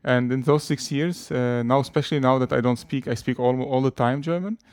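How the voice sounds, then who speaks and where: A man's voice: mid-range voice, a little fast, a little mellow, no room sound. Guy in his 30s, chatty interview guest, kinda hesitant, laughs a lot, dry close sound. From Lebanon. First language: Arabic and French. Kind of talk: relaxed conversational interview.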